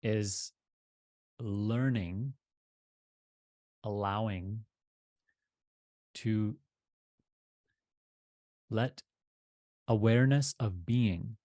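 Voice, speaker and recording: A man teaching meditation, leading a guided practice in deliberate short phrases with pauses between them. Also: fairly low pitch, dark tone, no echo.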